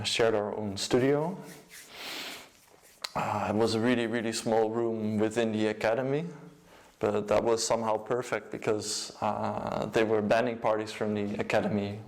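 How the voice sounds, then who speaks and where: A young man with a Dutch accent, a music producer and DJ, giving a casual, low-key account of his work. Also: darkish tone. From Netherlands.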